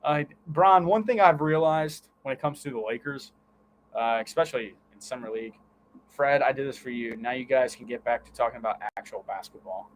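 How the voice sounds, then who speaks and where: A man's voice: medium pitch, a little fast, slight background noise, rough recording. A man hosting a sports livestream, mixing live play-by-play with casual, teasing banter. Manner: banters and teases his co-host.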